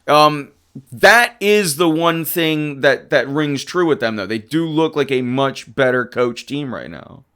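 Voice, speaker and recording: A man hosting a live sports talk stream, casually answering chat and arguing football. His voice is hoarse, and he gets emphatic when he runs through stats. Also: on the high side, measured pace. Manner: animated and emphatic, rattling off stats. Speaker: livestream sports show host.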